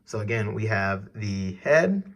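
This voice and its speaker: A man's voice: fairly low pitch, big pitch swings, a little slow, a bit bright. Man reading aloud to teach children. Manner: didactic, questioning the listener directly. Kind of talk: educational read-aloud for children with asides and questions.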